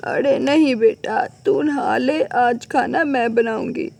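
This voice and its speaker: A woman's voice: high voice, takes it slowly, creaky, voice pushed down, full character voice. A woman voicing a tired, loving, anxious elderly widowed mother in a cartoon moral story. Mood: tender, weary. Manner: gentle, anxious, doting.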